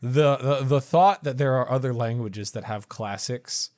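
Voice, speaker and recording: A man's voice: mid-range voice, a little slow, darkish tone, no room sound, clean studio sound. A man co-hosting a casual movie review podcast, curious and teasing in conversation. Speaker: podcast co-host. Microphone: podcast mic.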